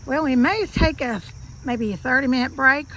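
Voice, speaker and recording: Hoarse woman chatting outdoors, high gravelly voice. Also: moderate pace, fairly bright tone, muffled recording, a little hiss or hum. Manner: chatty, explains tips to viewers. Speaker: homesteading channel host. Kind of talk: casual chat while working on a project.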